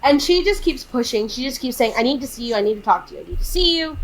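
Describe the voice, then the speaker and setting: A woman of thirty chats her way through a film recap on a podcast, voice sitting a little high. She leans into the quotes with exasperated, animated energy. A faint background hiss sits under her. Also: fast, low-quality recording. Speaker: podcast co-host. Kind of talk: casual chat recapping a film.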